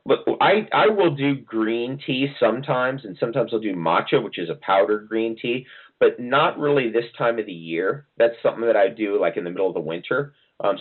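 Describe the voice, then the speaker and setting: A man from New Jersey chats as an interview guest in a low, easygoing voice. He rambles and restarts often, filling pauses with ums. The sound is narrow and phone-like. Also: husky. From New Jersey, USA. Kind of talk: casual interview answers.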